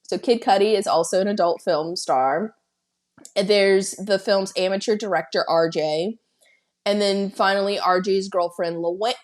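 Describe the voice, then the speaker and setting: A woman co-hosting a casual podcast about films. Her voice sits at an ordinary middle pitch, and she walks through the plot in a chatty way, breaking into lively asides. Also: moderate pace. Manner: chatty, retells scenes with excited quoted asides. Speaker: podcast co-host. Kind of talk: casual film-recap chat.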